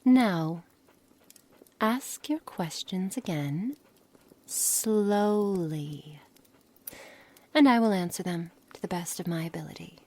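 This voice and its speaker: A haughty witch, acted by a woman for an ASMR roleplay, speaks in a breathy, husky voice on the low side. Her delivery is very slow and formal, with a condescending, controlling edge that often sinks toward a whisper. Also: animated, a little mellow, exaggerated voice. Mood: calm, gently amused. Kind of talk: ASMR roleplay, scripted monologue to the listener.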